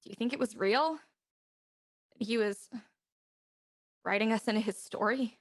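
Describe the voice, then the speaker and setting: A woman's voice: on the high side, measured pace, close to an everyday voice. A woman playing a blunt, tough, wry young woman in a naturalistic audio drama. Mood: shaken, wondering.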